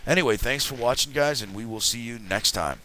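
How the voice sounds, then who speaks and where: A man hosting a casual game commentary, his voice raspy from having just woken up, rambling and chatty as he plays. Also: mid-range voice, a little fast, sharp tone, slight background noise, rough recording. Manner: rambling, chatty, self-correcting. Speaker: let's play host.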